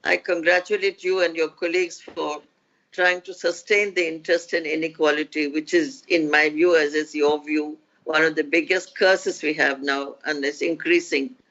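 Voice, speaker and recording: Older woman, reflective, pausing, muffled lo-fi sound. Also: low voice, fairly even intonation, fairly bright tone. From India. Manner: measured, with hesitant pauses. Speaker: guest economist. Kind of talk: reflective interview answer.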